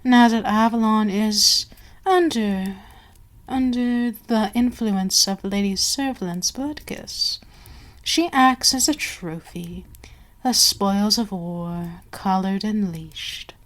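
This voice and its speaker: An imperious vampire queen played by a woman speaks at a very slow, deliberate pace in a mid-range voice. She is seductive and menacing, haughty and used to being obeyed, and lets words sink toward a whisper in intimate ASMR roleplay style. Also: medium pitch, lightly acted. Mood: smug, gloating. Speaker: voice actor in audio roleplay.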